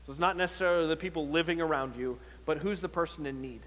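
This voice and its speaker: A man's voice: a little high, fast talker, fairly bright tone. A man preaching a sermon as a pastor, earnest and exhortative, posing rhetorical questions and often repeating his phrases. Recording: long hall reverb on sermon audio.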